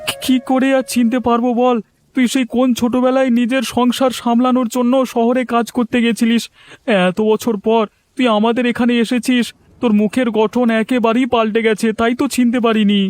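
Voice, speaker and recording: Cartoon shopkeeper male actor: very high voice, rapid talker, nosy familiar neighbour, blunt. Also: level tone, no room sound. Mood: curious, friendly. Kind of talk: cartoon dialogue acting.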